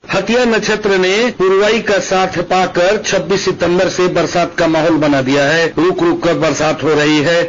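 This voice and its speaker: A man's voice: high voice, fairly bright tone. A man giving a scripted news report as a regional radio correspondent. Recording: dull radio audio with faint noise.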